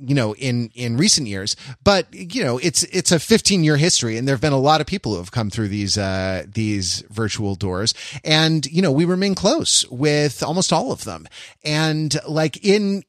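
A man's voice: on the high side, a bit bright, no echo, clean studio sound. A man co-hosting a podcast in casual chat, with a jokey, rambling way of talking. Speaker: podcast co-host. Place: remote recording on a video call.

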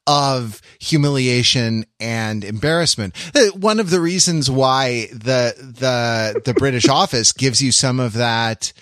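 A man's voice: medium pitch, unhurried, few pauses, fairly bright tone, no room sound, clean studio sound. A man co-hosting a casual comic panel chat, telling stories in a wry, rambling, digressive way. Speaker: podcast co-host.

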